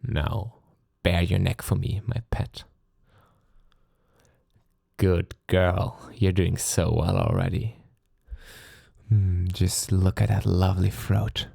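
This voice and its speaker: An ancient vampire lord, acted by a man, speaks very low and close in a dry, intimate space. He is sadistic and possessive, lacing his commands with courtly endearments. The delivery is theatrical ASMR roleplay, taunting and silkily menacing, with a creaky edge. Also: level tone, slow pace, pauses often, warm tone, no room sound, exaggerated voice. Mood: possessive, commanding, then hushed, hungry. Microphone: close studio mic, dry.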